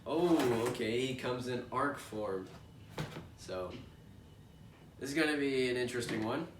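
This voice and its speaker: A man's voice: medium pitch, measured pace. A young man with a congested voice, chatting casually as he unboxes a toy, rambling with lots of fillers. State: congested from allergies. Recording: echoey room, distant mic, noisy background.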